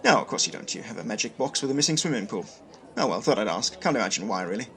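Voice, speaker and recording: A man playing an awkward, wry and enterprising trader in an audio drama, halting and self-deprecating. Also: lowish voice, very animated, a bit bright. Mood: awkward, hopeful.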